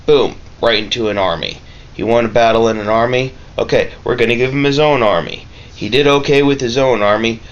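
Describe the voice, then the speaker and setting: A man talking casually and haltingly in a laid-back, rambling vlog review. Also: mid-range voice. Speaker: vlogger reviewing a film. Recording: dull lo-fi mic with clear background noise.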